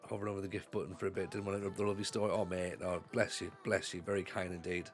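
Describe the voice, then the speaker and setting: A man's voice: low voice, little pitch movement, very fast, soft background music. A man streaming a football management game live, thinking aloud and urging on his players, a bit congested with a cold. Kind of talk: live gameplay commentary.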